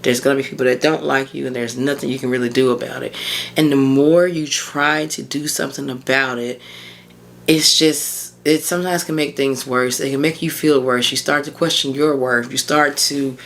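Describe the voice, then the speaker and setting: A woman in her thirties with a hoarse voice, hosting a casual solo podcast as a mindset coach and chatting informally and encouragingly with her listeners. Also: very deep voice, steady tone, moderate pace, a bit bright. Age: 30s.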